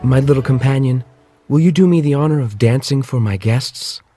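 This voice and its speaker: A man voicing an affectionate, courteous young prince in an animated fairy tale. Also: medium pitch, normal speed, husky, dry recording, faint music behind, close to an everyday voice.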